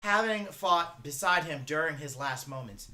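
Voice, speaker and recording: A reaction video host giving casual, flippant, animated commentary in an informal way. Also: mid-range voice, moderate pace. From the frozen north.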